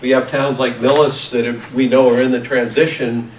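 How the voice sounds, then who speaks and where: A man chairing a town committee meeting, speaking in measured phrases with frequent restarts. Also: medium pitch, little pitch movement, slight background noise. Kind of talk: public meeting moderation. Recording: echoey meeting room from far off.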